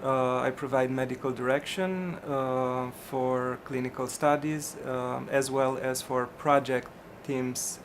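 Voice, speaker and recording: A middle-aged physician from Romania, answering interview questions in a hesitant way, with many ums and restarts. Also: male voice, mid-range voice, steady tone, slow pace, hardly any pauses, slight background noise.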